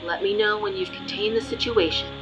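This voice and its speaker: A woman playing a no-nonsense supervisor giving orders over the radio in an audio drama, crisp and procedural. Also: mid-range voice, fairly even intonation, brisk, dull audio, over music, natural voice. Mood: brisk, commanding.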